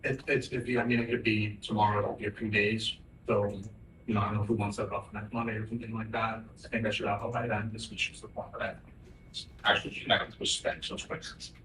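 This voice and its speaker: A man's voice: flat delivery, fast talker. Distant, echoey, tentative male prosecutor. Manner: tentative, casual. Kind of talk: unscripted courtroom exchange. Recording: distant, echoey, noisy rumbling courtroom audio.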